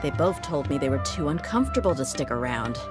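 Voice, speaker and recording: A woman's voice: sits low, expressive, brisk. A woman acting a blunt, confident young female mercenary in a video game, with an easygoing, teasing way of talking. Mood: teasing, candid. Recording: music behind the voice.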